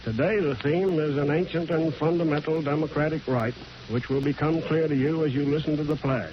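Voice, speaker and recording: A man giving a formal 1940s radio introduction as announcer, earnest and measured. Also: fairly high pitch, steady tone, a little hiss or hum. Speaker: announcer and chairman. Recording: muffled 1940s radio recording. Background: music.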